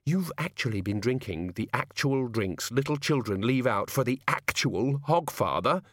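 A man voicing a sharp, indignant woman with a sardonic, articulate and exasperated way of talking. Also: mid-range voice, animated, moderate pace, dry recording, close to an everyday voice.

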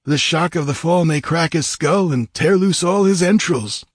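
A man acts out a desert nomad in an adventure storybook. His speech is guttural and sits well above a narrator's natural pitch. He is wary and argues his point. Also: moderate pace, breathy edge, dry recording, clean studio sound. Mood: worried objection. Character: wary male desert nomad tribesman, objecting.

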